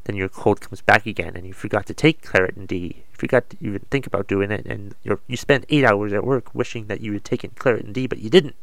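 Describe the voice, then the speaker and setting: A man's voice: on the low side, fast talker, gravelly, few pauses, a bit bright, dry recording. A man with a cold, slightly congested, hosting his own podcast in a rambling, self-deprecating, casual way. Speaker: podcast host. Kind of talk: casual solo podcast monologue.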